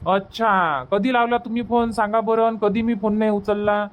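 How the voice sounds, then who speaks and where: A man's voice: sits high, fairly even intonation, normal speed, close to an everyday voice. A man voicing an eager, teasing village son in a cartoon comedy, insistent and colloquial, with a Varhadi Marathi accent.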